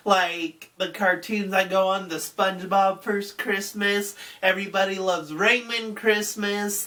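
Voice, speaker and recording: A woman's voice: fairly high pitch, a bit bright, distant mic. A young woman from Utah, congested from a cold, chatting casually about video games she recommends, rambling with lots of fillers. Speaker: gaming channel host.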